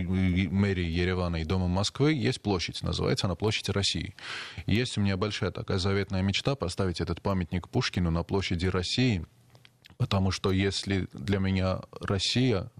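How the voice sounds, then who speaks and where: A very deep male voice with a gravelly rasp, speaking as an interview guest. He talks earnestly and with emphasis, repeating his main points for weight. The sound is close and dry, with no room around it. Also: no room sound. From Armenia. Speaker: guest, member of parliament. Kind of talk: interview answers.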